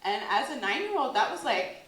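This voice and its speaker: A woman's voice: a little high, very animated, fairly quick, a bit bright. An American woman in her late thirties gives a casual talk to a workshop audience, chatty and full of "like" fillers, laughing often. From United States. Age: 30s. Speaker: workshop speaker. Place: event hall with an audience. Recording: echoey hall.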